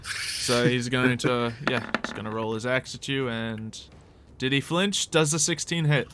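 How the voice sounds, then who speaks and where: A man's voice: medium pitch, fairly even intonation, unhurried, background noise. A man acting as game master, narrating a tabletop roleplaying game in a casual, informal, jokey way.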